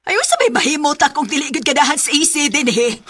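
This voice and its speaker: A woman's voice: high-pitched, lively intonation, fast, fairly bright tone. A woman with a Cebuano Filipino accent acting a possessive, stubborn grandmother and mother in a radio drama. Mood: cold, defensive.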